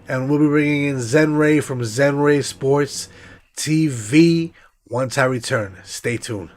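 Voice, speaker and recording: A young man with a congested, nasal voice hosting a casual post-game sports stream, giving shout-outs and running through stats with plenty of fillers. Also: mid-range voice, slow pace, background noise. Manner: hype, shout-outs, stat rundown with fillers. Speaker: sports podcast host.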